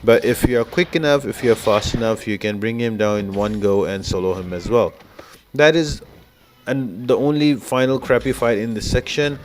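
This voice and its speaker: A man's voice: medium pitch, normal speed, a little hiss or hum. A man giving informal, explanatory walkthrough commentary as the host of a video game guide.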